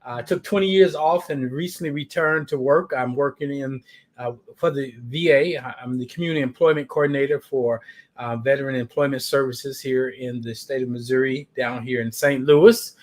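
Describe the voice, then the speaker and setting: A man in his sixties from the Chicago area, a life coach, talking about himself in a relaxed, friendly way on a video call. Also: mid-range voice, moderate pace. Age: 60s. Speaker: life coach and employment coordinator. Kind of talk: casual video call chat.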